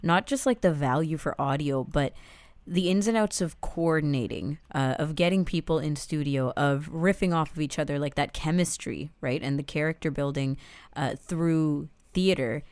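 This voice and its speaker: A woman with a notably deep, smooth voice, recorded close and dry. She co-hosts a review podcast, talking reflectively and analytically in casual discussion. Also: moderate pace, darkish tone, no room sound. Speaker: podcast co-host.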